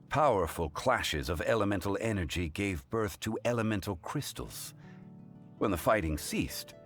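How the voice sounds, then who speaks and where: An actor playing a calm, dignified scholar who explains things in a measured, formal way. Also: male voice, low-pitched.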